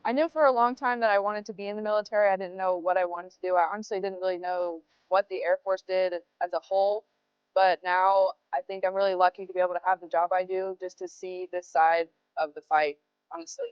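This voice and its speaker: A woman's voice: on the low side, flat delivery, fairly quick, smooth, no room sound, highs cut off. A young woman, an air force crew member, explaining her job in an interview.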